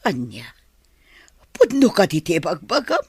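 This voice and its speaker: A woman's voice: fairly low pitch, huge pitch swings, a little slow, darkish tone, slightly rough, no echo, studio quality, heavily acted. A woman playing a fretful, scolding older mother with an Ilocano accent in a radio drama. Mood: nosy, surprised.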